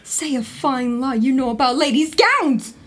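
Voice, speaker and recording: A woman's voice: sits high, expressive, a little slow, a bit bright, exaggerated voice. A woman playing a touchy, jealous newlywed wife in a 1910s New York comedy audio play, talking in a dramatic, slangy way. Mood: scandalized. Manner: dramatic, slangy, easily indignant.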